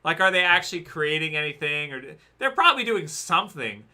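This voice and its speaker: A man's voice: on the high side, very animated, fairly quick, sharp tone. A man hosting a casual livestream, rambling through viewer questions with many fillers and restarts, saying he is losing his voice. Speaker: livestream host answering chat.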